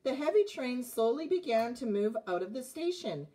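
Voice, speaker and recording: Woman, forties, storybook reading, lively intonation, slightly husky, expressive phrasing. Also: on the high side, normal speed. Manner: expressive, clearly phrased narration with asides about the pictures. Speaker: storybook reader. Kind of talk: reading a children's picture book aloud. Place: indoors, child's playroom.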